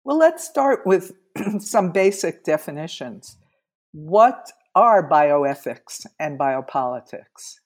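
Low-voiced woman host, formal. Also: very animated. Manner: measured, formal. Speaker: radio show host. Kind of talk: scripted introduction and questions.

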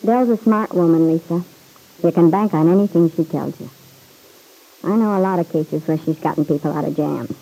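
A woman's voice: low-pitched, moderate pace, vocal fry, dark tone, poor audio. A woman playing a chatty, plain-spoken young roommate in an old-time radio serial, with breezy, slangy, folksy talk. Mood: breezy, frank.

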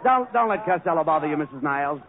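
A man as the straight man in 1940s radio comedy, placating and brisk, heard on an old broadcast. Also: fairly high pitch, lively intonation, phone-quality sound, crowd noise, noisy background.

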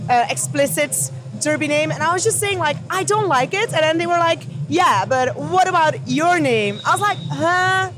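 A woman from Belgium, a roller derby skater telling a story in a casual interview and acting out her own reactions. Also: high-pitched. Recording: background noise.

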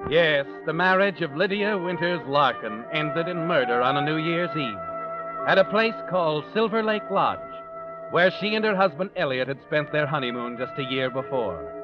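A male actor plays an ominous storyteller for a vintage radio mystery. His voice sits a little high, and he speaks with dramatic deliberation. Clear music plays behind him on a dull, aged recording. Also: close to an everyday voice. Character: ominous omniscient male narrator of a murder mystery. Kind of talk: 1940s radio mystery narration.

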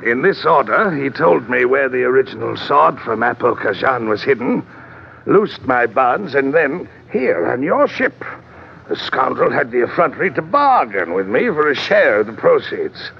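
Gravelly, pompous old-radio villain. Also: male voice, medium pitch, sing-song. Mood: smugly menacing. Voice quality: gravelly, creaky. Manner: pompous, ornate, deliberate wording. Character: fat, pompous, smugly menacing male villain trader. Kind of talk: old-time radio drama acting. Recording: dull, noisy vintage radio recording.

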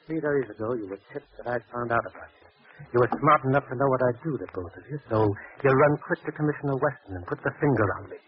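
An actor playing a brutal, defiant gangster who talks in tough slang, in old-time radio crime drama style. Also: male voice, mid-range voice, quick pace, a little hiss or hum, natural voice. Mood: menacing. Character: brutal, defiant male gangster, vengeful and menacing. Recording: muffled old radio broadcast recording.

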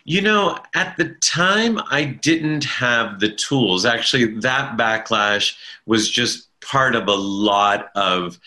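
A man being interviewed, recalling his past in a thoughtful, halting way with frequent restarts and a self-deprecating humor. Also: mid-range voice, animated, sharp tone. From Italian-speaking family in America. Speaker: interview guest, stage performer. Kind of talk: reflective conversational storytelling.

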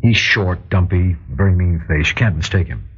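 An actor playing an earnest, insistent private detective in an old-time radio drama, speaking in a husky, urgent, persuasive way with formal address. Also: male voice, deep voice, expressive, normal speed, full character voice. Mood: grave, controlled. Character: earnest, insistent male private detective warning his client. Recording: dull, lo-fi vintage radio recording.

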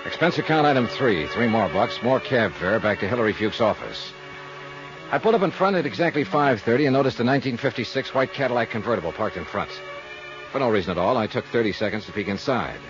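An actor playing a wry, hard-boiled insurance investigator, narrating and talking in a clipped, dry way in a 1950s radio drama. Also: male voice, mid-range voice, animated, quick pace, muffled recording, natural voice. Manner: clipped, terse, dry delivery. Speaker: detective narrator and lead character. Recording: vintage 1950s radio recording with music.

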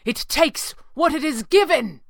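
A woman's voice: mid-range voice, very animated, a little slow, a bit bright, slightly rough, dry recording, clean studio sound, full character voice. A woman voicing a resolute masked male superhero in a pulp adventure audiobook, urgent and commanding. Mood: grim, urgent.

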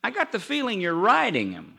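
Genial plant foreman, old-time radio sitcom. Very bright, highish, animated, tactful. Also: a little fast, creaky, husky, heavily acted. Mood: friendly. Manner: genial, neighborly, chuckling. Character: genial factory foreman, male, chuckling and tactful. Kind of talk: 1940s-50s radio sitcom acting. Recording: vintage radio show recording.

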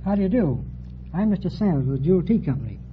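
An American man from California answers a quiz host briefly and plainly. His voice is slightly high. The vintage radio recording is thin and tinny, with faint noise. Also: very animated, quick pace. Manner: brief, matter-of-fact answers. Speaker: quiz show contestant, door-to-door salesman.

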